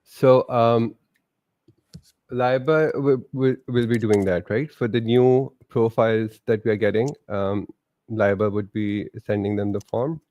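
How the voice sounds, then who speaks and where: A man's voice: lowish voice, fairly even intonation, unhurried, a little mellow, no room sound, lo-fi sound. A man from Pakistan, co-hosting a live stream with teasing, self-mocking humor. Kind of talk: casual live-stream chat.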